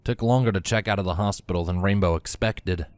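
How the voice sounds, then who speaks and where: A man's voice: low voice, a little fast, clean tone, no room sound. A man reading scripted fiction aloud as a narrator.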